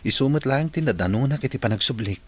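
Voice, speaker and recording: A man's voice: medium pitch, expressive, fast, natural voice. An actor playing an earnest, polite young man in a radio drama, speaking with an Ilocano accent. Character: earnest young man, respectful boss seeking his mother. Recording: radio drama with noticeable background noise.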